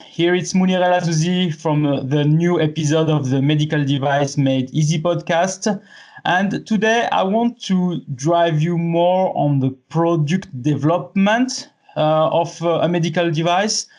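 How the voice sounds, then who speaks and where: Friendly halting male podcast host. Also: fairly high pitch, darkish tone. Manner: friendly, halting, many fillers and restarts. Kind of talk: podcast interview.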